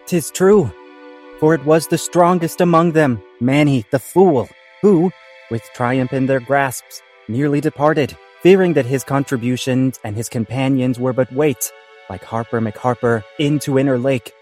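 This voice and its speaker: A man's voice: medium pitch, a little slow, darkish tone, faint music behind, lightly acted. A man playing a pompous small-town amateur thespian, declaiming in a comedy audio drama. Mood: proud, grand. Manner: mock-archaic, declamatory.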